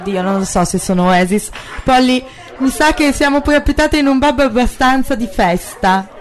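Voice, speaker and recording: Brief: native Italian woman host, Italian-accented English, lively, chatty, fairly high voice. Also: a little fast. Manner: lively, chatty, hesitant when speaking English. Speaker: travel vlog host. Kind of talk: casual on-the-street presenting.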